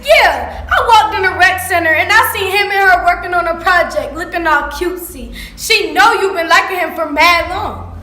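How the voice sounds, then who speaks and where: A woman's voice: extremely high pitch, normal speed, bright, forceful tone. A woman acting a brash, aggressive teenage sidekick in a stage play, mouthy and slangy. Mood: gossipy. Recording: echoey stage.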